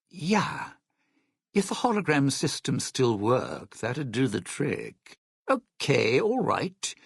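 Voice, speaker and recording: Jaded lounge singer crook, gravelly fry, unhurried, sardonic, slangy, self-serving, video game dialogue. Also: male voice, medium pitch, no echo, clean studio sound, heavily acted. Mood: wary, sardonic. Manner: sardonic, wary, slangy wisecracking.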